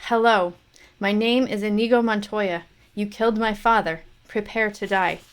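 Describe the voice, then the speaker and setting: A woman's voice: lowish voice, fairly even intonation, unhurried, vocal fry. A woman voicing a wounded, vengeful young male swordsman, speaking in a grim, solemn way. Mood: rising resolve. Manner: grim, repeated solemn vow.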